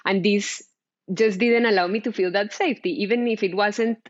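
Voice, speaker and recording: A woman's voice: medium pitch, steady tone, moderate pace. Woman telling her story, lotta restarts n "right?", dull lo-fi audio. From Colombia. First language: Spanish. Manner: searching, with many restarts and "right?". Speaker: panelist, DEI practitioner. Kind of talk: personal story in panel talk. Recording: dull lo-fi sound.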